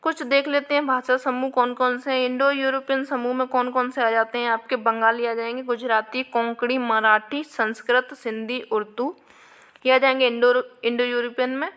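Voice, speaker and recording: A woman's voice: on the high side, level tone, moderate pace, a bit bright, smooth. A woman teacher working through past exam questions, explaining each answer and repeating key points for her students. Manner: addresses viewers with frequent "आपके". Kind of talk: exam question walkthrough lesson.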